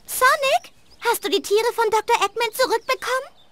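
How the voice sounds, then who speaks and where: A woman's voice: very high-pitched, normal speed, a bit bright. A woman playing a sweet, anxious young female hedgehog in a video game dub, tender and pleading. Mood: worried.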